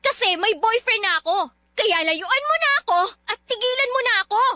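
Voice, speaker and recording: An actress playing an irritated, dismissive college student in a radio love drama, curt and exasperated. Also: female voice, very high voice, animated, fairly quick, sharp tone, heavily acted. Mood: exasperated, firm. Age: young adult. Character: irritated, dismissive young female college student rejecting suitor.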